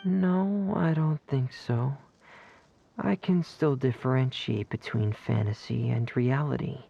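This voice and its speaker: An actor voicing a shy, gentle, dreamy teenage boy in a video game, speaking softly and earnestly with some hesitation. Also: mid-range voice, vocal fry, slightly rough, dull audio. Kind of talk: video game character acting.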